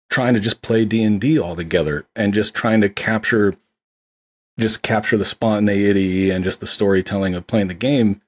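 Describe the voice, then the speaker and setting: A man's voice: on the low side, darkish tone, husky. A man in casual film discussion as a podcast guest, talking in an enthusiastic, conversational way.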